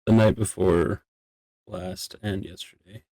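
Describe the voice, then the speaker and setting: A man's voice: sits low, fairly even intonation, takes it slowly, crowd noise. A man playing a plain-spoken court member in an improvised Minecraft roleplay, talking casually. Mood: matter-of-fact.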